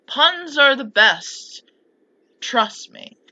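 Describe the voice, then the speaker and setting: Woman playing a giddy, dramatic young noblewoman, bright voice. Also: high-pitched, very animated, drawn-out words, voice pushed up, exaggerated voice, low-quality recording. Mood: gleeful scheming. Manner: exuberant, dramatic. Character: giddy, sarcastic, pouty young noblewoman full of schemes.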